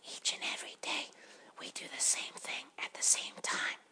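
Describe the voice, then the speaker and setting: A narrator voicing prim butterflies, speaking in a soft whisper. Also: moderate pace. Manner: prim, set in their ways.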